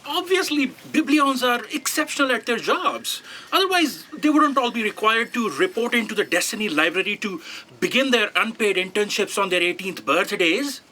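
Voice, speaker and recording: Woman doing a know-it-all copy aide, sharp high voice, condescending, comedy audio drama. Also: lively intonation, normal speed, a little hiss or hum, low-quality recording. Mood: smug. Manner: condescending, correcting.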